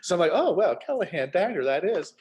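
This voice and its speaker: A man's voice: high voice, sing-song, brisk, a little mellow. A middle-aged man who is an author, chatting casually and telling stories with acted-out asides. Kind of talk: casual chat.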